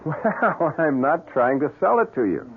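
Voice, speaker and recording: A man's voice: a little high, very animated, unhurried, slightly rough, exaggerated voice. An actor playing an agitated, evasive businessman who stammers defensively when pressed, in a radio drama. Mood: guarded. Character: agitated, evasive young businessman hiding a secret camera. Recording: muffled lo-fi radio drama recording.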